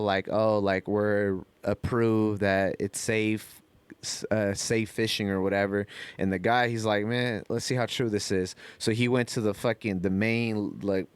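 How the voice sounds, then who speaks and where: A man's voice: lowish voice, clear, resonant tone, dry recording. A man talking in a casual, rambling podcast style, full of slang and cursing. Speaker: podcast co-host.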